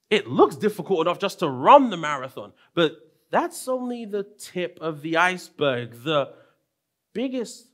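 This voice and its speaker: A young man from London preaching at a church conference, his voice a touch higher than most men's. He delivers from a pulpit mic, dropping to quiet asides and then rising into emphatic, lively peaks. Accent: London English. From London, England. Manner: animated, swings between soft asides and raised emphatic lines. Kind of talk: sermon. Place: church stage with purple lighting.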